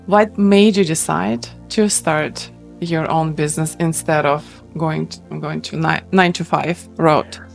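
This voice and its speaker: Low-voiced woman host. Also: steady tone, measured pace, creaky, soft background music. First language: Russian. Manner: enthusiastic, repeats words for emphasis. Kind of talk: casual interview chat.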